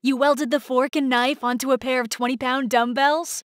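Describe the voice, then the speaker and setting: A woman's voice: high voice, steady tone, normal speed, dry recording, close to an everyday voice. A woman voicing a skeptical wife in a video game, dryly sarcastic and reluctant as she reins in her husband. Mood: doubtful, sarcastic. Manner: dry, withering, hesitant. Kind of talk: video game character acting.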